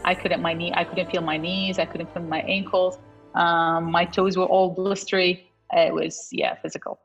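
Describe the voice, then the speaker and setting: A woman's voice: lowish voice, flat delivery, normal speed, slightly rough, faint music behind, poor audio. A woman from Iraq and Canada, a fitness coach, chatting casually as a podcast guest and laughing often. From Iraq, then Canada. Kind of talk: casual interview answers.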